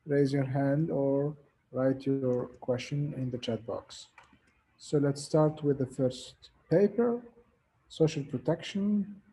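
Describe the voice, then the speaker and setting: A man opens an online academic panel with brief, orderly remarks. His voice is very dark and mellow, with a husky edge, and it sits somewhat high for a man. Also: poor audio. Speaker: panel convener. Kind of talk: formal panel introduction. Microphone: video call.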